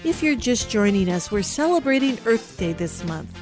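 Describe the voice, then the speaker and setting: A woman's voice: fairly high pitch, very animated, normal speed. Woman interview host, faint music behind. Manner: friendly, prompting questions.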